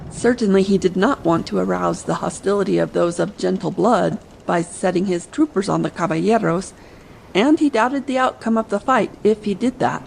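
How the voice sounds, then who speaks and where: A woman narrates an adventure audiobook. Her medium-pitched voice has a noticeable creak, and faint ambient noise sits behind it. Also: normal speed, darkish tone, low-quality recording.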